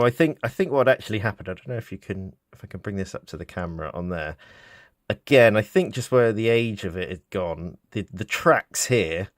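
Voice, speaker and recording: A man with a low-pitched British voice talks through a practical repair in an informal, unscripted way. He sounds like a hobbyist thinking aloud, with some hesitation. The sound is dry and close, with little room reflection. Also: no room sound. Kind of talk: casual unscripted repair demonstration. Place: indoors, small office.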